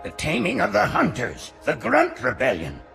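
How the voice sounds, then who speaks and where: An actor playing a frail, ancient alien priest with a reverent, quavering delivery. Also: male voice, on the high side, huge pitch swings, normal speed, vocal fry, fairly bright tone, faint music behind, full character voice.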